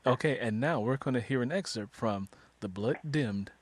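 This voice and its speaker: A man's voice: mid-range voice, moderate pace, no echo. A man hosting a conversational book interview as a fellow author, speaking in an effusive, encouraging way.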